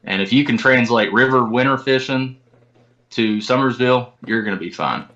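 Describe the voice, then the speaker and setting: A man's voice: medium pitch, moderate pace, bright, forceful tone, dull audio. A man talking casually as an interview guest, with informal, folksy phrasing. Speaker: podcast guest, tournament kayak angler.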